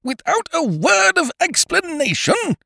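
A man voicing a pompous, indignant chancer in an audiobook, loud and emphatic, stammering, with old-fashioned British slang. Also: sits low, huge pitch swings, moderate pace, dry recording, clean studio sound, heavily acted. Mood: indignant, outraged.

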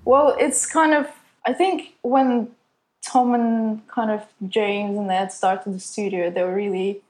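A woman's voice: medium pitch, fairly even intonation, takes it slowly. A young woman who is not a native English speaker, an animator answering interview questions in a casual, hesitant way full of fillers. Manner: hesitant, lots of "kind of" fillers. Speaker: animator at a studio.

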